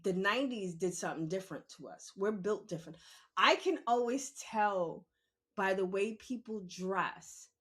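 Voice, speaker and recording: A woman, talking candidly and emphatically in a conversational monologue, repeating phrases for emphasis. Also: medium pitch. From New York City, born in the Bronx and raised in Queens. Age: 50s. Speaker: podcast host.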